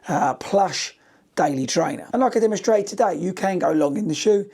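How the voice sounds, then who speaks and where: A British man, a running coach, talking casually to camera in a shoe review while nearly losing his voice, croaky and hoarse. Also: high-pitched, expressive, normal speed, darkish tone. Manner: chatty, cheeky, direct to viewers.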